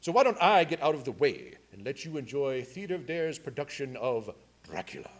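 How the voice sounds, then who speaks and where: A man's voice: mid-range voice, sing-song, normal speed. A man giving a live pre-show welcome as a theatre host, with a wry, self-deprecating manner. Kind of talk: live curtain speech, part scripted.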